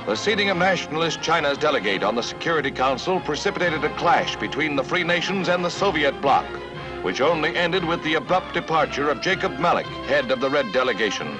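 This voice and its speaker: American male newsreel announcer, 1950s style, slightly high voice, emphatic formal delivery, prominent music behind. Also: lively intonation, brisk, rough recording. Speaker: newsreel narrator. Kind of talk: 1950s newsreel scripted narration. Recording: vintage 1950 newsreel soundtrack. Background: background music.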